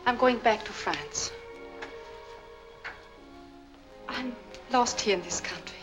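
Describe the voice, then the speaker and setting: An actress playing a troubled, demure young French widow in a 1940s Hollywood film. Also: female voice, a little high, normal speed, close to an everyday voice. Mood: sad, apologetic. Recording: old film soundtrack, music behind.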